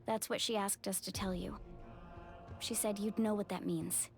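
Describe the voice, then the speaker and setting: A woman's voice: mid-range voice, steady tone, normal speed, creaky, faint music behind, close to an everyday voice. A woman voicing an earnest, determined young female warrior in an anime video game, speaking directly and sincerely.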